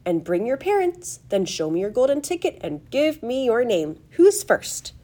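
Woman acting eccentric old gentleman, high fluty voice, excitable, gushing, swooping pitch, storybook character. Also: normal speed. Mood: welcoming. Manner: effusive, gushing, exclamatory. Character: excitable, eccentric, effusive old male chocolate factory owner.

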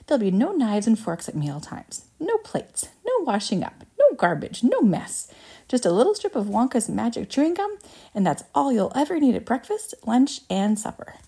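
Woman as male candy inventor, storybook, grandiose, emphatic, swooping pitch, soft-edged tone. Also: huge pitch swings, moderate pace, husky, exaggerated voice. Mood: grand, gushing. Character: excitable boastful male candy inventor, showman.

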